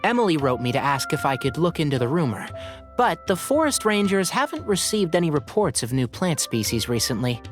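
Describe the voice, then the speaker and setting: Brief: a composed young ranger, light voice with lively intonation, soft music behind. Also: male voice, fairly high pitch, a little fast. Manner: informative, composed. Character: helpful young male forest ranger, level-headed. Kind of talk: game voice acting.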